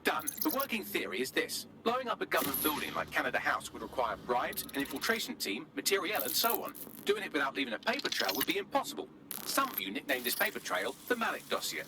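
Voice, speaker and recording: A smug male AI sidekick from a video game, pitched high and swooping freely. He is glib, mocking and wordy, over heavy noise. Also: huge pitch swings, quick pace. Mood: glib briefing. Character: sardonic, smug, foul-mouthed male AI assistant to hackers.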